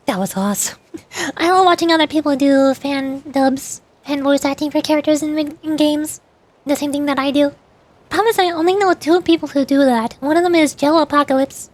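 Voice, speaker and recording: A woman streamer chatting casually over gameplay in a chatty, giggly way. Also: sits high. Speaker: VTuber streamer.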